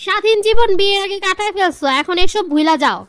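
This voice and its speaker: A woman's voice: very high-pitched, fast talker, fairly bright tone, faint music behind. A woman voicing a bossy, money-grabbing young cartoon wife with a commanding, nagging manner and a Bangladeshi accent. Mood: bossy, scolding. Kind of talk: comedy cartoon dialogue.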